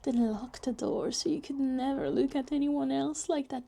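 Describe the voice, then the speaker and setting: A woman's voice: high-pitched, normal speed, vocal fry, warm tone, a little hiss or hum. A woman voicing a possessive, obsessive young woman who schemes and muses to herself in a roleplay ASMR monologue, sighing and slipping into half-whispers. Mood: dreamy, possessive.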